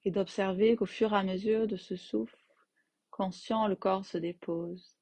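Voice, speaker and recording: A woman guiding a yoga nidra relaxation as its teacher, in gentle, unhurried phrases with long pauses. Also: medium pitch, steady tone, husky, dry recording. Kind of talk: guided meditation, slow soothing instruction.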